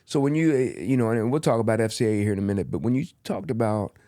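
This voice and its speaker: A man's voice: on the low side, fast, mellow, no echo, clean studio sound. Man hosting a faith sports podcast. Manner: conversational, leading long rambling questions. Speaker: podcast host. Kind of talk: faith-focused sports interview.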